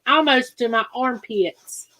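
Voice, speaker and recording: A woman's voice: on the high side, takes it slowly, bright, forceful tone, poor audio. A Southern woman hosting a casual live-stream chat, telling homestead stories and laughing often. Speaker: homestead channel host.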